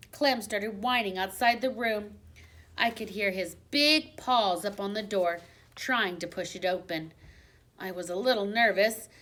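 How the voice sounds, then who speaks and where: A woman reads a children's storybook aloud in a medium-pitched voice. Her narration is expressive, in the way of a teacher reading to a class, and she leans dramatically on the exciting parts. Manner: dramatic emphasis on exciting moments.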